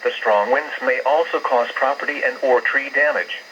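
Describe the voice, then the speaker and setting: A computerized American man's voice delivers formal alert bulletins with a bright, forceful edge. The delivery is measured and impersonal, like scripted announcing. It comes over weather radio with a muffled, lo-fi band and faint hiss behind it. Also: medium pitch. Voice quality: synthetic text-to-speech voice. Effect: weather radio broadcast. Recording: narrowband hissy weather radio reception.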